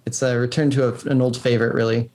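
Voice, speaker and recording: A man's voice: mid-range voice, flat delivery, fast talker, a little mellow. A man being interviewed as a game developer, explaining in loose, informal, exploratory phrases peppered with "like". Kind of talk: conversational interview answers.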